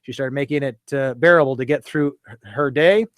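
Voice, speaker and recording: A man's voice: medium pitch, a little fast, smooth, dry recording, studio quality. A man hosting a local radio show, chatting casually and reading a story aloud with many fillers and restarts.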